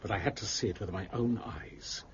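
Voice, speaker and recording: An actor playing an irate, pompous police prefect in an audio drama, forceful and domineering. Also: male voice, fairly low pitch, brisk, close to an everyday voice. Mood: angry, threatening. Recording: muffled, noisy lo-fi audio.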